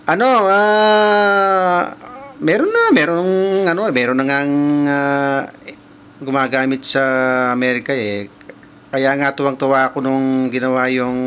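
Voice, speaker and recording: A man with a Filipino accent making casual ham radio contact, halting as he searches for words. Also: mid-range voice. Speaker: amateur radio operator. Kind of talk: casual ham radio chat with call signs. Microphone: mobile phone. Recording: muffled, noisy mobile phone capture.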